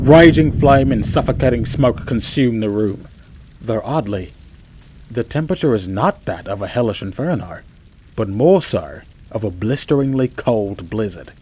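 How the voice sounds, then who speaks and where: A low-voiced man played by an actor narrates his own eerie tale for a radio play. He is chatty and bewildered, with theatrical pauses and conversational asides. Faint noise runs behind the voice. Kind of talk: first-person scripted story narration, radio play.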